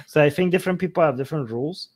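A man's voice: a little high, expressive, fast talker, breathy edge. A young man from Iran chatting casually and joking on a video call with a headset. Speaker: co-host. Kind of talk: casual chat.

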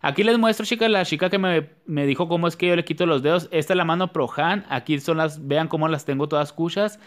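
A man talking viewers through a nail tutorial in a chatty, repetitive way. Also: on the high side. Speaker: nail artist. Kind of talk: casual nail tutorial voice-over.

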